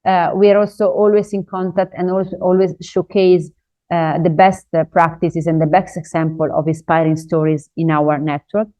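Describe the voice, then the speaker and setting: A woman giving a business webinar presentation in non-native English, pausing often with "uh" fillers. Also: fairly low pitch, fairly even intonation, dark tone. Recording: dull lo-fi webinar audio.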